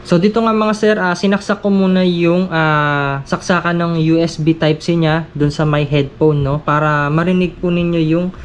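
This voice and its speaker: A man's voice: fairly high pitch, steady tone, moderate pace, a little hiss or hum. A man giving a casual, polite step-by-step product tutorial as a gear reviewer. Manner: polite, addressing viewers respectfully.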